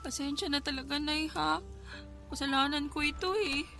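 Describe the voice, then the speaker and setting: A woman's voice: high-pitched, moderate pace, vocal fry. A woman playing a dutiful young daughter in a radio drama, respectful and emotional, with a Filipino accent. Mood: apologetic, tearful. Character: dutiful young daughter working abroad, hiding hardship. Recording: music under the voice.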